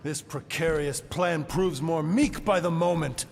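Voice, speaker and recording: Pompous, creaky cosmic being. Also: male voice, fairly high pitch, unhurried, a little mellow, in a large hall, slight background noise. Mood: disdainful. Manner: florid, alliterative, theatrical. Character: pompous, grandiose male cosmic being, theatrically gloomy. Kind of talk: game cutscene voice acting.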